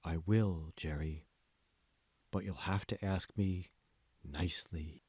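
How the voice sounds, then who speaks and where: Guy doing a sly calm manipulator for an audiobook, low and slow, kinda hushed, condescending, super dry sound. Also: husky, dry recording, full character voice. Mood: teasing, controlled. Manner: slow, deliberate, probing questions, hushed. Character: calm, manipulative male mentor, slyly seductive and condescending. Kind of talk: dramatic audiobook dialogue.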